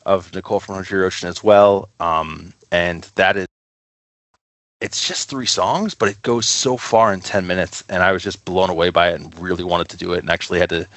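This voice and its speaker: A man's voice: sits low, fairly even intonation, normal speed, a bit bright, no room sound. A man speaking casually as a podcast guest, rambling enthusiastically through stories about his work. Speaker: podcast guest, record label co-founder. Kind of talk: casual interview chat.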